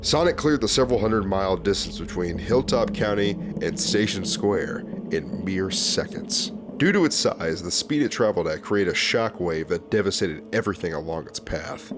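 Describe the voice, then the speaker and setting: A solemn male military narrator acted by a man delivers a formal analog-horror report. His voice is fairly low and measured, with clear noise behind it. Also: big pitch swings. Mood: grave, ominous.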